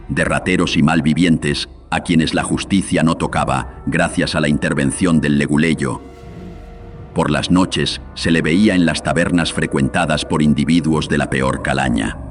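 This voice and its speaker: A man narrating a scripted horror legend in a solemn storytelling manner, his voice processed with filters. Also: a bass voice. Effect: processed with audio filters.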